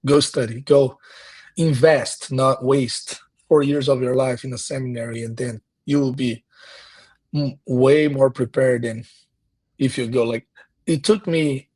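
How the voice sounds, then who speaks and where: Brazilian pastor guest, 30s, husky voice, mid pitch, earnest and reflective. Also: male voice, darkish tone. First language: Portuguese. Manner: earnest, reflective, many restarts. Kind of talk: conversational interview.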